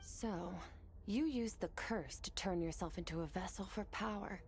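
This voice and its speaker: A woman playing a stoic, determined young swordswoman in a video game, composed, serious and deliberate. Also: mid-range voice, a little mellow, slight background noise, close to an everyday voice. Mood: stern. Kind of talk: dramatic video game voice acting.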